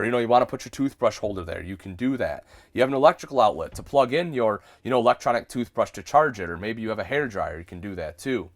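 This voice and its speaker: A congested man with a head cold, a product specialist giving a friendly walkthrough tour inside a travel trailer and calling his viewers folks. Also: medium pitch.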